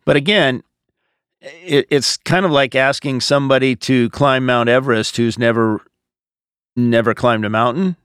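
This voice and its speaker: An American man speaking as a pastor, answering questions in a measured, explanatory, conversational podcast style. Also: mid-range voice, steady tone, a bit bright, clean tone, no room sound, studio quality.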